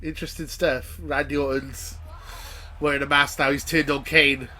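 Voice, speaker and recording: A man's voice: a little high, flat delivery, normal speed, bright, forceful tone, a little hiss or hum. Chatty man with a nasal cold. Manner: chatty, jokey, thinks aloud with fillers. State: has a cold, sounds nasal. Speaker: reaction show host. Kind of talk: casual watch-along commentary.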